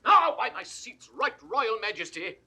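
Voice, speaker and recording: A man's voice: sits high, big pitch swings, unhurried, pushed and bright, slightly rough, full character voice. An actor playing a petulant, callous king, declaiming imperiously in 1960s Shakespearean audio drama style. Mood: outraged.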